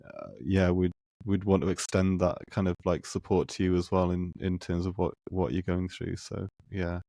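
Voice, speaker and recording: A man's voice: deep voice, little pitch movement, moderate pace, gravelly, dark tone, no echo, clean studio sound. A man hosting a podcast, chatting casually in a hesitant, tentative way. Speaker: podcast host. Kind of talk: casual conversation.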